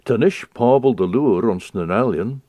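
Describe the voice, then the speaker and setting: A man giving measured, scripted radio narration as a documentary storyteller. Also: medium pitch, expressive, no room sound, muffled recording.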